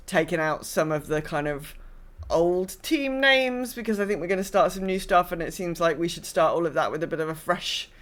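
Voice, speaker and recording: A woman's voice: on the low side, moderate pace. A woman hosting a podcast, chatting casually about gaming in a wry way and slipping into imagined quotes. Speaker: podcast host.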